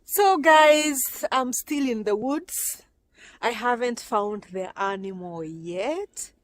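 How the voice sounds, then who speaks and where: A woman's voice: a little high, lively intonation, slow pace, background noise. A Kenyan woman vlogging on her phone as she walks through a cold forest outdoors, chatty and laughing often. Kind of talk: casual walking vlog. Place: snowy forest outdoors in winter. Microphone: phone camera.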